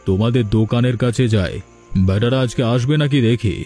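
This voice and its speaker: Undercover magistrate for a village cartoon, male actor: soft mellow tone, protective and firm. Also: mid-range voice, moderate pace, faint music behind, natural voice. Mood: earnest, explaining. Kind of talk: Bengali cartoon story dubbing.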